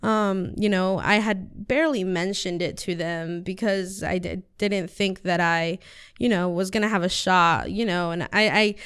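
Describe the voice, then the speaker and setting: A woman's voice: lowish voice, fairly even intonation, unhurried, a bit bright, clear, resonant tone. A teenage girl whose first language is Spanish, answering interview questions earnestly, with many fillers and restarts. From United States. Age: teens. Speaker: high school student guest.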